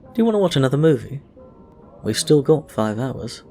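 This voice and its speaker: A man's voice: mid-range voice, big pitch swings, normal speed, warm tone, faint music behind, voice pushed up. A man voicing a breezy, teasing, curious supernatural man in a casual, playful way for a story.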